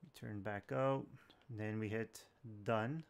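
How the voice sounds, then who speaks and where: Clear-voiced man giving a casual tutorial. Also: fairly low pitch, fairly even intonation, measured pace. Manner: informal, plain-spoken. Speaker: tech tutorial host. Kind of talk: casual step-by-step tutorial narration.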